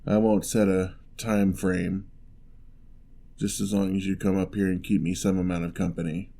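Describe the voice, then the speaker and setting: A man playing a lonely, gentle male giant in a fantasy ASMR roleplay, speaking in a measured, wry, slightly formal way. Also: low-pitched, little pitch movement, vocal fry. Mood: softly companionable.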